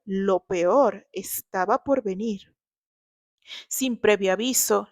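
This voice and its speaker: A woman's voice: mid-range voice, no echo, studio quality. A woman with a Mexican accent reading scripted fiction as a dramatic narrator.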